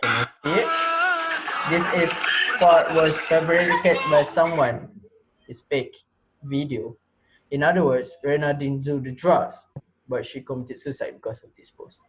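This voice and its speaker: A man's voice: a little high, a little slow, noisy background, close to an everyday voice. A man acting as a menacing male teacher who mocks and taunts the class he holds hostage, in an amateur reader's theater drama. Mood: stern, accusing. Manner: taunting, laughs at his victims.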